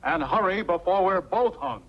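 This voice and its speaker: A man's voice: high voice, lively intonation, moderate pace. A male actor playing a bitter, desperate accomplice with a curt, accusing manner in a 1940s film noir. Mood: urgent, resentful. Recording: dull, band-limited 1940s film soundtrack.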